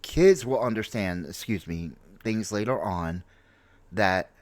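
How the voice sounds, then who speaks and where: An American man in his late forties talks through his personal views as a streamer would. His delivery is slow and halting, with reflective repetition for emphasis. The sound is dry and close, with very little room in it. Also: lowish voice, a little mellow, no echo. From New York City, United States. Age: late 40s. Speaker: streamer, content creator. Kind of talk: unscripted personal opinion monologue.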